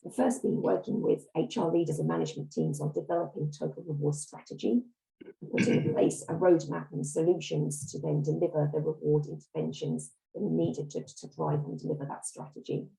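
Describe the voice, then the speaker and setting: A woman giving a webinar presentation as a reward consultant, hoarse and under the weather, often clearing her throat. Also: deep voice, warm tone, poor audio. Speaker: guest speaker, reward consultant. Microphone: video call.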